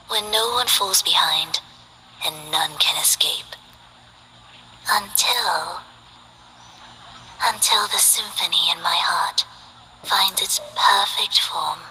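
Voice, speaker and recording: Slow, whispery, numb underworld guardian. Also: female voice, lowish voice, a little hiss or hum, full character voice, low-quality recording. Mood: restrained intensity. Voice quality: soft, breathy, whispery. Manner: numb, detached, measured solemn delivery. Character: soft-spoken, numb, tragic woman conductor and underworld guardian. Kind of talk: scripted video game character acting.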